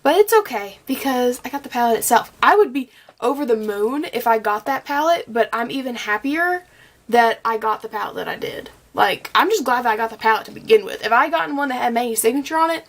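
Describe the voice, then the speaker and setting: A woman's voice: on the high side, animated, fairly quick. A young woman vlogger with a slight cold, chatting and gushing through a casual makeup review, with plenty of ums and likes. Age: young adult. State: has a cold, a bit scratchy. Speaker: beauty vlogger.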